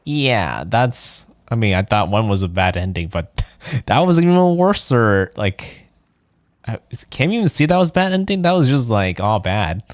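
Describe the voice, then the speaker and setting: A man's voice: on the low side, big pitch swings, moderate pace, no room sound. A man giving casual, reactive, rambling live commentary while streaming a game.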